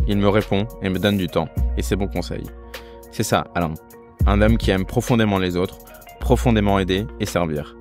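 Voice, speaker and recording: A man's voice: on the low side, darkish tone. A man hosting an interview podcast, delivering an earnest, emphatic introduction and questions. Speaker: podcast host. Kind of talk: scripted intro, then interview.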